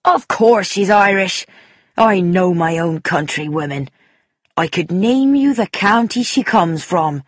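A woman's voice: fairly low pitch, expressive, a little slow, breathy edge, no echo, studio quality. Woman acting gossipy, assured Irish woman. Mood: certain. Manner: assured, chatty, emphatic. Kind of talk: audiobook character dialogue.

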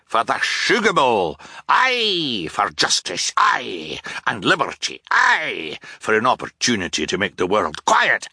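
A man's voice: mid-range voice, pushed and bright, no echo, clean studio sound, voice pushed up, exaggerated voice. A man voicing a frantic, bombastic submarine captain in an audiobook, talking in urgent, exclamatory, repetitive bursts. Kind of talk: audiobook character voice.

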